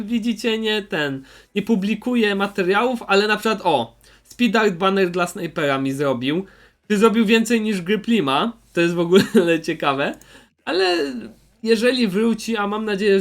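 A young man with a noticeably high voice talks as a YouTuber making recommendations. His delivery is casual and unscripted. He hesitates, rambles and often circles back to repeat what he has said. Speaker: YouTuber presenting recommended channels.

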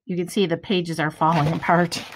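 A middle-aged woman reading a children's picture book aloud, deliberately, pausing between phrases. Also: lowish voice, level tone, a little hiss or hum, rough recording. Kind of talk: children's storybook read-aloud. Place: small room at home, indoors. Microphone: webcam or device at arm's length.

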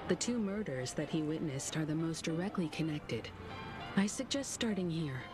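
Poised, lowish game leader. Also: female voice, animated, music in the background. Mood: calm, analytical. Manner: elegant, measured, inquisitive. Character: poised, shrewd, mature woman leader. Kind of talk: video game voice acting.

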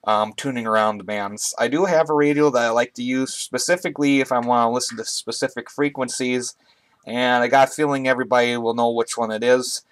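A man with a mid-pitched voice talks through a hobby gear review in a casual way. His delivery is halting and conversational, picked up by a webcam in a room at home. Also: fairly even intonation, clear, resonant tone. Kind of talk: casual product review to camera. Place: indoors, small room at home. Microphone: webcam on a tripod, speaker off camera.

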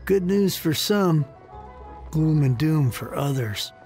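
A man's voice: a little high, lively intonation, slow pace, a little mellow, soft background music. A man playing a weary, indifferent townsperson in a video game, resigned and sighing. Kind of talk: video game character acting.

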